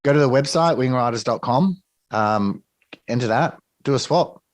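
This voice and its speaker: A man with an Australian accent, a guest on an interview, talking briskly and emphatically and often ending points with a tag question. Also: mid-range voice, dry recording. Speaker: guest, crypto project team member. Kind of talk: casual interview talk.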